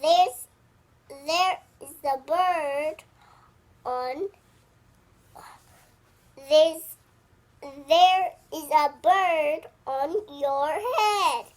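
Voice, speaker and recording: A child voicing a cheerful, helpful little girl piglet from a picture book, announcing things in drawn-out words. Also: high voice. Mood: matter-of-fact.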